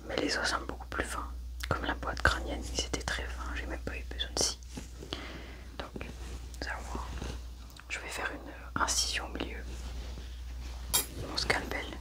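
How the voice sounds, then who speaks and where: A woman whispering an ASMR medical roleplay, murmuring slow step-by-step commentary on what she is doing. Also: pushed and bright. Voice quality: whispered, unvoiced. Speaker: ASMR roleplayer acting as an alien autopsy examiner.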